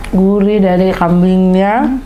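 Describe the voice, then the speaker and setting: Woman, young adult, food vlog host, relaxed slow pace, chatty tasting, clattering dishes behind. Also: mid-range voice, dull audio, slight background noise. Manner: chatty, savoring and commenting while tasting. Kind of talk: casual food review chat.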